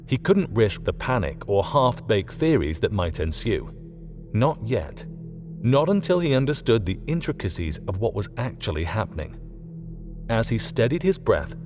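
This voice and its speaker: Low, expressive male audiobook narrator. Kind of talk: scripted fiction narration. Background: faint music.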